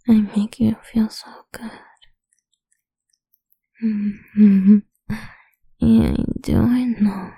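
A woman's voice: on the high side, gravelly, dark tone, slightly rough, dry recording. A woman performing an intimate audio roleplay, mostly whispering close to the microphone in a dominant, teasing manner.

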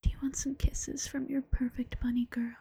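A woman voicing an affectionate, teasing bunny-girl girlfriend in a slow, coaxing ASMR whisper. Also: high-pitched, level tone, vocal fry, dark tone, breathy edge, no echo, exaggerated voice. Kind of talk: ASMR roleplay.

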